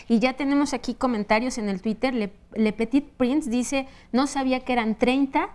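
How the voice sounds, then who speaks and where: A woman co-hosts a TV talk show in a crisp, clear, slightly high voice. She speaks briskly as she reads out comments and figures. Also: darkish tone. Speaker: co-host reading social media.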